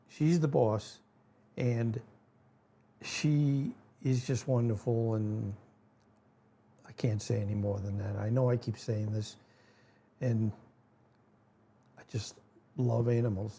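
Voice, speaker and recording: A man's voice: medium pitch, creaky, mellow, breathy edge. A man in his seventies with a Rhode Island accent, casually explaining animal myths in a folksy, rambling way with many fillers. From New England. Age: 70s. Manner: rambling, folksy, frequent fillers and self-corrections. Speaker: channel host. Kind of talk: casual educational talk to camera.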